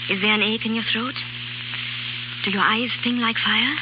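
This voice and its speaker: A proud, wounded baroness played by an actress, speaking with cool, clipped drama in the manner of 1930s radio plays. The old broadcast is muffled and thick with noise. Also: female voice, fairly high pitch, fairly even intonation, normal speed, close to an everyday voice. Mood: bitter, defiant. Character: bitter, wounded young baroness, proudly cold.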